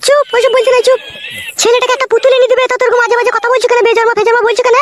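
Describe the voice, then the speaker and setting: A woman's voice: very high-pitched, flat delivery, very fast. A woman voicing a nagging mother who scolds her husband in a comedy cartoon. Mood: scolding. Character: shrill scolding mother defending her son.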